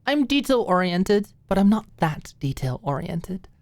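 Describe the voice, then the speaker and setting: A woman voicing an excitable, gushing, easily flustered young woman in an audiobook. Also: on the low side, unhurried, no echo, pitched above the performer's own voice. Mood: pouty. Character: bubbly, eager, self-doubting young woman hosting a sleepover. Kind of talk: audiobook character dialogue.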